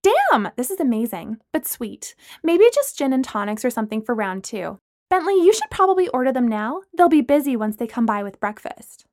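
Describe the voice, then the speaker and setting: A woman's voice: a little high, very animated, moderate pace, a little mellow, no echo, studio quality. Woman putting on a bubbly boy-crazy young woman. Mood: giddy. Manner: breezy, giddy, shameless.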